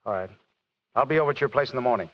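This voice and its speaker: An actor playing an earnest young country doctor, emotional and heartfelt, in a radio drama. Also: male voice, medium pitch, expressive, rapid-fire, natural voice. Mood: puzzled. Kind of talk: 1940s radio acting. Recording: dull old radio recording.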